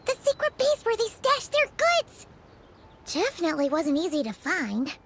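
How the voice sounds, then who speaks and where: A woman voicing a tiny, excitable childlike companion in a video game, bubbly and eager, full of exclamations. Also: very high-pitched, moderate pace, a little hiss or hum, exaggerated voice. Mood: excited.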